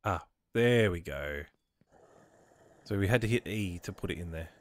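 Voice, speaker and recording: A man with a cold casually commentating his own gameplay, reading on-screen prompts aloud and thinking out loud as he plays. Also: sits low, expressive, normal speed. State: has a cold. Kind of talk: casual let's-play commentary.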